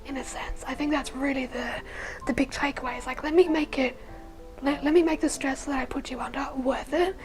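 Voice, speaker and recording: A young woman with a husky, hoarse voice, casually telling her personal story. She rambles with lots of fillers and often drops to a soft, breathy near-whisper. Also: high voice, rough recording. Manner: rambling, with many fillers like "like" and "you know". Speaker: vlogger sharing her life story. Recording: music playing underneath the voice.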